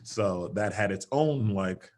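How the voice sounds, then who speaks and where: A man with a low, deep speaking voice chats casually as an interview guest. He is animated, laughs easily and jumps up in pitch when he acts out his own reactions, heard over a video call. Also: takes it slowly. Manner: animated, laughs often, quotes himself in lively bursts. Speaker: interview guest, stage actor and singer.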